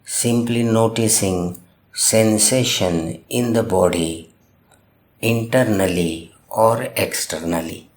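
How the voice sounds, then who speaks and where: Slow, low-voiced man guiding meditation. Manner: gentle instruction in short, measured phrases with long pauses. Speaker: meditation guide. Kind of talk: guided meditation narration. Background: music.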